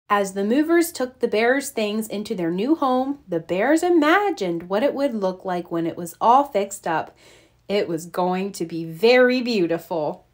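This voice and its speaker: Woman storybook narrator, higher voice, lively melodic pitch, deliberate, expressive. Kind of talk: scripted children's story narration.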